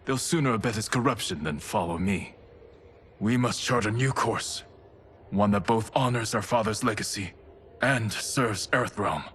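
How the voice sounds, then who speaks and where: An actor playing an honorable, resolute ninja warrior in a video game, speaking in an earnest, formal way. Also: male voice, on the low side, moderate pace, a little hiss or hum, lightly acted. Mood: grave resolve. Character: honorable, resolute male ninja warrior loyal to his clan. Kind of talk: cinematic video game acting. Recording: loud music behind the voice. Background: cinematic game music.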